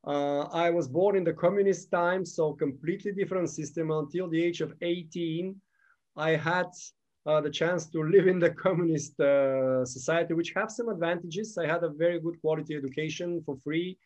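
A man of around fifty, speaking a bit higher than most men. He answers an interviewer casually, his English a little uneven, and he often stops to hunt for the right word. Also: steady tone, a little mellow, highs cut off. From Bulgaria. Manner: halting, non-native phrasing, pauses to search for words. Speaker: guest.

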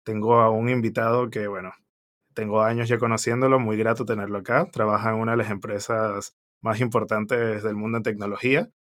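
A man's voice: fairly low pitch, little pitch movement, brisk, a bit bright. Man hosting a casual tech podcast. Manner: hesitant, with restarts. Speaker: podcast host. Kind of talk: casual interview chat.

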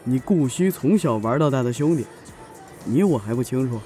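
A man's voice: mid-range voice, lively intonation, normal speed, dark tone, faint music behind, natural voice. An actor playing a chatty, cynical male best friend in a Chinese audio drama, needling and flippant. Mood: teasing.

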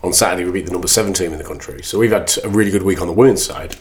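Low, slightly hoarse man chatting. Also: rapid-fire, a little hiss or hum. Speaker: podcast co-host, football coach. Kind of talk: casual chat.

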